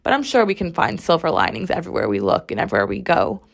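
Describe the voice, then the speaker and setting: A young woman in her twenties talks through her podcast in a loose, rambling way, punching her points hard and swearing without a second thought. Her voice sounds strained and raw, worn down to a hoarse scratch. She is recording from a bathroom at home. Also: lowish voice, big pitch swings, quick pace. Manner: rambling, emphatic, swears freely. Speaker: podcast host. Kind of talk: casual solo podcast chat.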